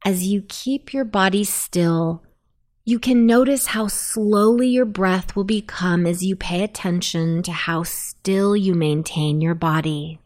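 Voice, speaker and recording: A woman narrating a guided sleep meditation in a soothing, deliberate manner. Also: mid-range voice, a little mellow.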